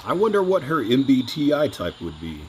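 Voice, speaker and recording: A man's voice: medium pitch, wildly swooping pitch, fairly quick, noisy background. A man hosting a reaction video, making amused, laughing asides.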